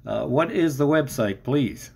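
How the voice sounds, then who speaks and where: A male interview host with a medium-pitched voice. He talks casually and conversationally, wandering a little through his phrases as he goes. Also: animated, measured pace, gravelly, background noise.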